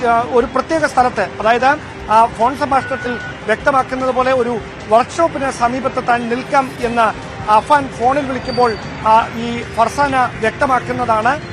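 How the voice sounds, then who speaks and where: A man reporting live as a field news reporter, explaining at length in halting, repetitive run-on sentences. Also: extremely high pitch, fairly even intonation, crowd noise, low-quality recording.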